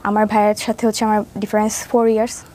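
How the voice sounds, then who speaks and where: A woman's voice: medium pitch, level tone, quick pace, dark tone. A woman of around twenty from Mymensingh with a Bangladeshi accent, telling her life story conversationally as an interview guest, step by step with habitual filler phrases.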